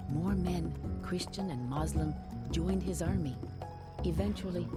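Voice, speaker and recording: Woman acting noble knight's wife telling a solemn tale, low voice, game cutscene w loud music under it. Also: lightly acted. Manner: solemn, measured storytelling. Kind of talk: scripted storybook narration.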